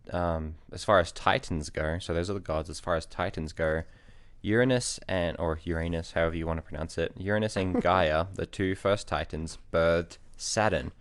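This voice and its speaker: A man with a very deep voice co-hosts a casual family podcast. He tells jokes and history stories in a relaxed, deadpan way, recorded close and dry with no room sound. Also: dry recording. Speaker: podcast co-host. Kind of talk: casual chat and storytelling.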